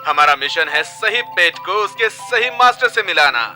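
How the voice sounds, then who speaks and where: Bright, high-pitched cartoon game show host. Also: male voice, expressive, normal speed, faint music behind, full character voice. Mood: salesy. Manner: showy announcer patter. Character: slick, over-eager male TV game show host. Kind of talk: dubbed children's cartoon acting.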